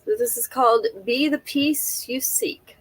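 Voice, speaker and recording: Woman, slightly high voice, stuffy nose, chatty live seller, detailed descriptions, close camera mic. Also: big pitch swings, a little slow, rough recording. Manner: chatty, careful item-by-item descriptions.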